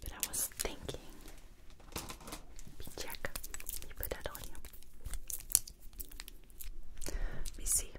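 A young woman speaking in a breathy, hushed whisper in short instructional phrases, as in an ASMR roleplay, close to the microphone. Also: measured pace. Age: young adult. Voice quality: breathy, mostly unvoiced whisper. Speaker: ASMR creator playing a stylist in a makeover roleplay.

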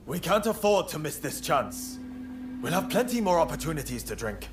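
An actor playing an intense young samurai rebel leader in a video game, commanding and conspiratorial. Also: male voice, on the high side, sing-song, normal speed, noisy background. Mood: intent, resolute. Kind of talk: video game cutscene acting.

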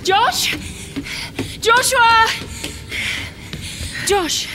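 Anxious, high-voiced pleading woman. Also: very slow, noisy background, exaggerated voice. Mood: panicked. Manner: urgent, pleading. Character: anxious, pleading young woman afraid for her lover. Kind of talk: German radio crime drama acting.

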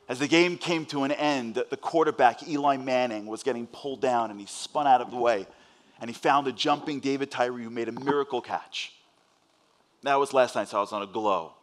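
A man with a New York accent giving a fast-paced inspirational speech on a large stage, telling a story and acting out the dialogue. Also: mid-range voice, a bit bright. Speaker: motivational speaker.

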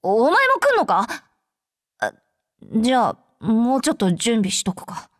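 An actress playing a brash, hot-tempered young female fighter with rough, curt tomboyish speech, in anime game voice lines. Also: a little high, sing-song, normal speed, no echo, studio quality. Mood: gruff, reluctant. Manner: rough, curt masculine speech, easily flustered.

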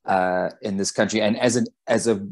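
A man's voice: on the low side, steady tone, normal speed. A man from South Africa moderating a webinar over a video call, speaking in an easygoing, appreciative way. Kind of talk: casual conversational talk.

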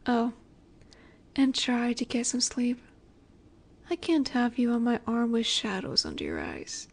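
A woman playing a flirty, confident female mafia boss in a roleplay audio drama, coy and teasing with breathy asides. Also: fairly high pitch, huge pitch swings, measured pace, exaggerated voice. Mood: seductive, teasing.

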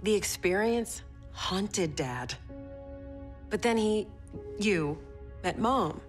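An actress playing a young woman soldier, speaking softly and steadily in a video game cutscene. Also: mid-range voice, expressive, extremely slow, faint music behind. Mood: earnest.

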